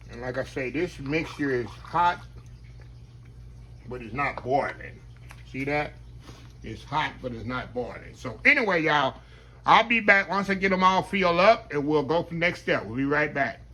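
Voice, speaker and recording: A man, chatting to viewers as he cooks in his home kitchen, his voice slightly hoarse. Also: a little high, bright, forceful tone, slight background noise. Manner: chatty, keeps addressing viewers as y'all. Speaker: home cook. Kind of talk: casual cooking demonstration.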